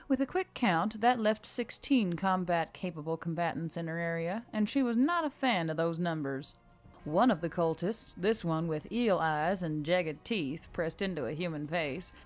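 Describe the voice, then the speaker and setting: A woman narrates an action fantasy story as a scripted audiobook. Her voice sits on the low side, and music plays behind her.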